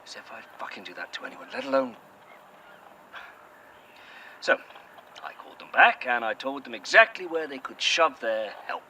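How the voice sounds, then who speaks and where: A bitter, sardonic man, voiced by a male actor in an audio drama, speaking. His tone is sharp and very bright, with a lively pitch that rises and falls, and his replies come short and cutting. Faint outdoor noise sits behind him. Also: moderate pace, creaky, pauses often, full character voice, low-quality recording. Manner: curt, sarcastic, heavy swearing.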